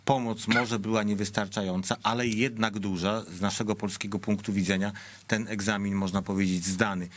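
A man's voice: lowish voice, little pitch movement, fairly quick. A man in his thirties hosting a studio panel discussion, asking long probing questions with frequent restarts. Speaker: TV talk show host. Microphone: studio mic.

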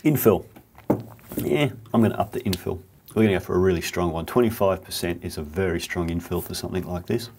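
A man in his forties with a cold and sniffles, chatting through a hands-on workshop tutorial in a relaxed, rambling way from his home garage. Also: low-pitched, fast, background noise. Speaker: workshop channel host.

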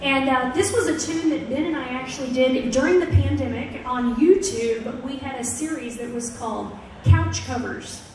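Young woman singer, high voice, loose friendly stage patter, stand mic, heard from far off with heavy echo and guitar behind. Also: moderate pace, a little hiss or hum. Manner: relaxed, rambling, friendly. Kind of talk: chatty stage banter between songs. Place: outdoor fair stage. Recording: echoey live stage sound taped from the crowd. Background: acoustic guitar.

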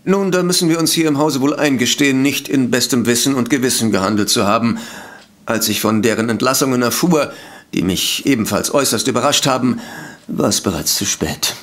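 A man's voice: mid-range voice, a little fast, a bit bright, natural voice. An actor playing a polite, defensive male sanatorium director in a detective radio play, speaking formally and hesitantly. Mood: indignant, regretful. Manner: formal, hesitant, self-justifying. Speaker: voice actor in audio drama.

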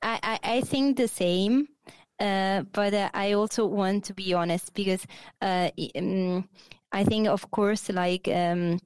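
A woman's voice: medium pitch, steady tone, unhurried, a little mellow, clear, resonant tone, dry recording. A woman in her thirties from Naples with an Italian accent, a guest on a video-call interview, talking hesitantly with many fillers and restarts over a headset mic. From Italy, Naples. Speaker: guest, architect. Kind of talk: casual interview. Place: bright office.

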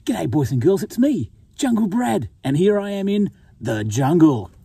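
A man in his forties with an Australian accent and a husky voice, giving a simple explanatory nature talk for children outdoors. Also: sits high, very animated, normal speed, over loud music. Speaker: pastor presenting a children's story. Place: outdoors in bushland.

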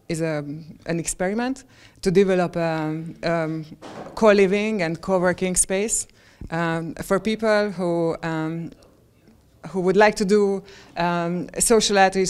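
A woman's voice: on the low side, smooth. A woman in her forties from Hungary, answering interview questions with many ums and restarts, recorded on a handheld mic indoors. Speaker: interviewee, project member. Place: stone-walled room, indoors.

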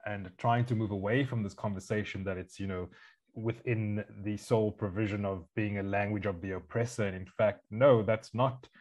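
A man's voice: fairly low pitch, fairly even intonation, moderate pace, a little mellow, highs cut off. Male podcast host. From South Africa. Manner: long winding questions. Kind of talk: podcast interview.

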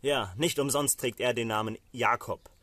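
A man's voice: medium pitch, normal speed, fairly bright tone, no echo, natural voice. A man voicing a wronged elder son in a Bible story, bitter, indignant and pleading with his father.

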